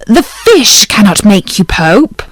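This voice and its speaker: A woman's voice: medium pitch, sing-song, takes it slowly, dry recording. A woman voicing a timid old fisherman who pleads meekly with his wife and with a magic fish in a storybook reading. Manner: pleading, meek. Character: meek, timid old fisherman, the wife's husband.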